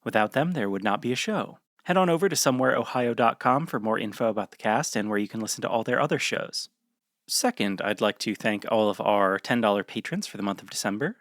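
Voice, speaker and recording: A man's voice: fairly low pitch, fairly quick, fairly bright tone, no room sound, clean studio sound. A man, the show's creator, reading a scripted podcast outro with credits and announcements.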